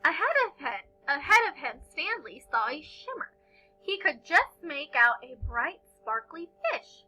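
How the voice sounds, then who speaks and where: A woman reading a picture book aloud to young children as a storyteller, with expressive, dramatic and deliberate phrasing. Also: high-pitched, soft background music, low-quality recording.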